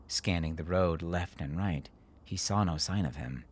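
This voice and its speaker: A man reading dramatic fiction as an audiobook narrator, with a measured, deliberate delivery. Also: deep voice.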